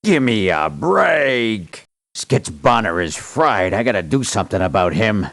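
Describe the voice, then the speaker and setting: An actor playing a tough, cynical, irritable mercenary in a video game, blunt and dismissive. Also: male voice, fairly low pitch, big pitch swings, a little slow, gravelly, a bit bright, no room sound, clean studio sound, full character voice. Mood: indignant. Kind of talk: video game character lines.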